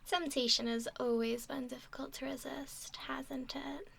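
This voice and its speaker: A woman's voice: sits high, level tone, unhurried, a bit bright, heavily acted. A woman voicing an alluring, mischievous young mermaid in a whispery ASMR roleplay, coy and teasing as she speaks. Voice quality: breathy, often whispery.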